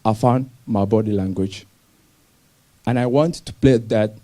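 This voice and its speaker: Young guy from somalia, warm low-key voice, simple broken english, keeps restarting. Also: unhurried. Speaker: speaker sharing his refugee story. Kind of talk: personal story talk on stage.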